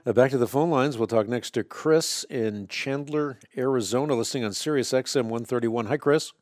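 A man whose first language is Dutch, hosting a call-in radio show and calmly explaining faith questions, often citing scripture. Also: medium pitch, no room sound, studio quality. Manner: measured, explanatory, quotes scripture. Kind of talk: Bible answer call-in show.

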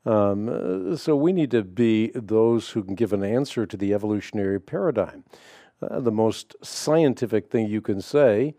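A man's voice: fairly low pitch, normal speed, mellow, no echo, clean studio sound. A man born in the Netherlands whose first language is Dutch, hosting a call-in radio show and talking earnestly with many fillers.

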